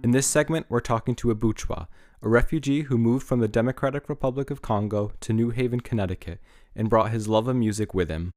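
A man narrating a podcast in a measured, scripted reading. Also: mid-range voice, no echo, studio quality. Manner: measured, even read delivery.

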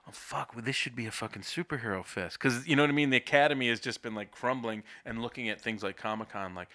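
A young man co-hosting a podcast, talking in a rambling, halting way with lots of swearing. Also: medium pitch, pushed and bright. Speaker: podcast co-host. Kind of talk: casual chat.

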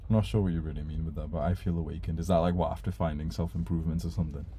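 A man's voice: very deep voice, fairly even intonation, rapid-fire, warm tone, slight background noise. A young man whose first language is Urdu, hosting a casual live stream and reading out donation messages in a laid-back way, swearing casually.

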